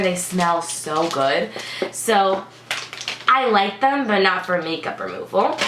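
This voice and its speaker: A woman's voice: medium pitch, vocal fry, a bit bright, echoey room, a little hiss or hum. A woman beauty vlogger with a congested, sick-sounding voice, chatting casually through a product review with lots of "like" and "um". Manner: chatty, many "like" and "um" fillers.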